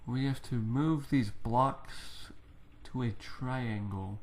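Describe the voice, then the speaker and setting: A man's voice: mid-range voice, takes it slowly. A young man giving casual, rambling commentary on a video game as he plays, explaining things and poking fun at himself, recorded on a headset. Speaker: let's play gamer.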